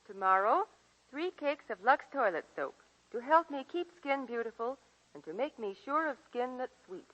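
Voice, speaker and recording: A woman's voice: high-pitched, expressive, normal speed, a bit bright. An actress playing an eager, chirpy young woman in a scripted 1940s radio commercial. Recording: muffled vintage 1940s radio broadcast.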